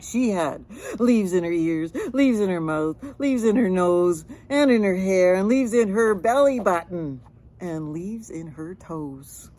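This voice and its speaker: A woman's voice: on the low side, lively intonation, a little slow, warm tone. A woman reading a children's picture book aloud with chatty asides, stretching words and sound effects expressively.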